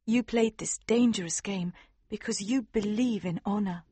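A man voicing a gentle, dutiful young princess in a storybook reading, speaking softly and earnestly. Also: high voice, moderate pace, breathy edge, no echo, voice pushed up. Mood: tender. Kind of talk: audiobook character voice.

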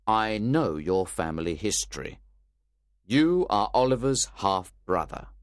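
A man doing Mister Brownlow, a stern, firm gentleman. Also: fairly low pitch, big pitch swings, no room sound, studio quality. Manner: firm, measured and accusing. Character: stern, composed older gentleman confronting a villain.

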